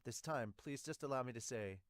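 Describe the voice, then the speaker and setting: Young man, mid-range voice, amateur manga dub read, slightly halting, close dry home recording. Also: medium pitch, no echo. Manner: halting reading with stumbles. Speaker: podcaster reading a manga aloud as an amateur dub. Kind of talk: amateur dramatic read-aloud of manga dialogue. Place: small home room, indoors. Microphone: large studio mic with pop filter, close.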